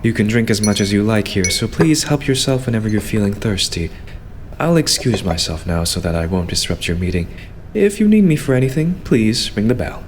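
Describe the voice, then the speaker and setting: A man playing a young idol butler in an anime-style fan dub, formal and courteous with a quietly condescending edge. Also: lowish voice, sing-song, slight background noise. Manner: formal, courteous, measured, servile phrasing.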